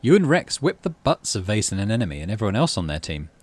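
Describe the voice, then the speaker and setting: Low, lively-voiced man gaming. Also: fast. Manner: thinks aloud in half-murmured asides. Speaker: gaming channel host. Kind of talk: casual game commentary mixed with reading aloud of story text.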